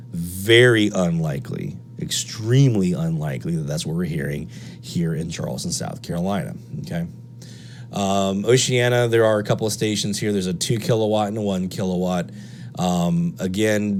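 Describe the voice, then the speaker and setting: Low-voiced American man explaining. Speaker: radio hobby host. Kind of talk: unscripted explanatory commentary. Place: indoor room at a radio desk. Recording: steady background noise and hum. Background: hum and noise.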